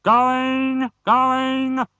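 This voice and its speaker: A British man voicing a loud, theatrical auctioneer in a storybook, calling out to the sale. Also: very high voice, monotone, drawn-out words, clear, resonant tone, no echo, muffled recording, pitched above the performer's own voice, exaggerated voice. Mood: brisk, commanding. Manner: calling out, drawn to a crowd.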